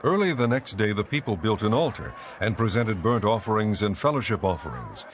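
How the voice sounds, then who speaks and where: A man's voice: lowish voice, big pitch swings, brisk. A man reading scripted dramatic Bible narration as the narrator.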